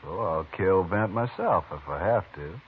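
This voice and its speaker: Low, husky, vengeful western tough. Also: male voice, lively intonation, unhurried, heavily acted. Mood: threatening. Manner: blunt, defiant, folksy grammar. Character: angry, vengeful, threatening Old West townsman. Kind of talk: 1950s radio western acting. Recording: muffled 1950s radio recording.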